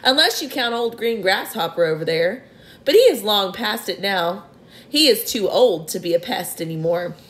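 A woman's voice: mid-range voice, expressive, moderate pace, lightly acted. A woman voicing a boastful, cheeky male centipede in a storybook reading. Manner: loud, gleeful and boastful.

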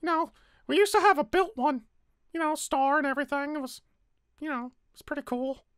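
A woman as a high-voiced, bitter underdog. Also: a little fast, no room sound, clean studio sound, natural voice. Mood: resigned. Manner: casual, sardonic, sweary. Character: bitter, defensive, world-weary character with wounded pride. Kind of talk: naturalistic roleplay voice acting.

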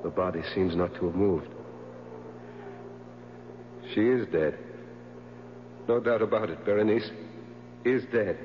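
Male actor playing obsessive grieving widower, lowish pitch, halting, overwrought, old radio drama, dull humming recording. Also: lightly acted. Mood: grim, uneasy. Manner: overwrought, halting, repeating words. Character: grief-stricken, haunted, obsessive widower, a man. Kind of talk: 1970s radio drama acting. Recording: muffled vintage radio broadcast with hum.

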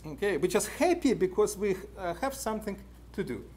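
Lively Russian-accented man lecturing. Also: sits high, fairly quick. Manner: animated, many "uh" fillers, questions to the audience. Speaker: conference speaker. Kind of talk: lecture to a live audience. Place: indoor meeting room at a podium.

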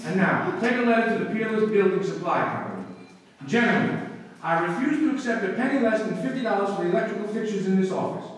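A man's voice: high-pitched, lively intonation. An actor playing a scheming, wisecracking male lawyer in a radio comedy before a live audience. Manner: rapid wisecracking patter. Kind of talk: live radio play recreation. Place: community meeting room with audience. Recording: echoey hall, mic far off.

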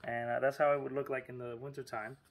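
Guy talking casually to his viewers, lots of "you know" and "look", normal middle pitch. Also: flat delivery, fast, vocal fry. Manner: chatty and informal, lots of fillers and asides. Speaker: vlogger. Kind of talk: casual vlog narration.

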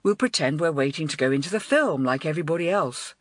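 A woman reading aloud and voicing a confident, breezy schoolboy in a lower, quick, self-assured voice. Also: lively intonation, dry recording.

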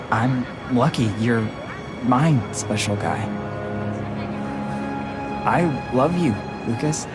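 A man playing an eager, romantic young student in a video game, excitable, chatty and sincere. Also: medium pitch. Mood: tender. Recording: loud music over the voice. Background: loud game music.

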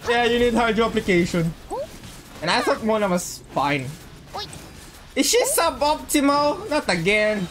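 Excitable game streamer repeating short phrases. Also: mid-range voice, a little slow, pauses often. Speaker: livestreamer playing a game. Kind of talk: casual live gameplay commentary.

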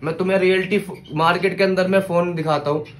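A young man vlogging from a street market on his phone, chatty and excited, then talking at home. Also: sits high, fast talker, slight background noise. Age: young adult. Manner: excited, chatty, keeps saying "bhai". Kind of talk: casual market vlog. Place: crowded outdoor street market, later a bedroom. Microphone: phone held at arm's length.

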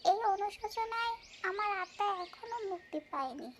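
A woman's voice: very high-pitched, animated, normal speed. A woman voicing a female tree ghost in a cartoon fairy tale, with colloquial, exclamatory speech. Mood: wistful regret. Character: female tree ghost, regretful, easily exasperated, childlike high voice. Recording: noisy, birdsong ambience behind.

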